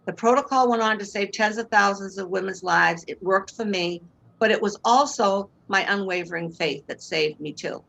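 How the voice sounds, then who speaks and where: Older boston lady on a video call, earnest, talks about faith, steady middling voice. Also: mid-range voice, moderate pace, vocal fry, rough recording. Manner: earnest, faith-filled storytelling. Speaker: guest. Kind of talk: personal testimony in interview. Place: home room indoors. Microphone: video call webcam.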